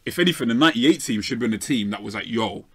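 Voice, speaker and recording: A South London man holding forth on football in a quick, animated rush. He argues his case hard and piles up point after point. His voice sits at an ordinary middle pitch. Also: a loud crowd. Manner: animated, argumentative, rapid-fire. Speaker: football pundit. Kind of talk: lively football debate.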